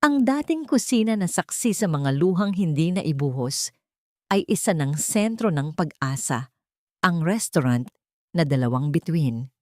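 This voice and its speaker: Low-voiced woman storyteller, dry recording. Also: very animated, a little slow, dry recording. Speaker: audiobook narrator and storyteller. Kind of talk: dramatic scripted narration.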